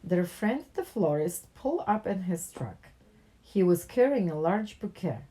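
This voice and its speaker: A woman reads a picture book aloud in an expressive storytelling style for children. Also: fairly low pitch, unhurried, slightly rough, poor audio. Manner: animated character dialogue with plain narration.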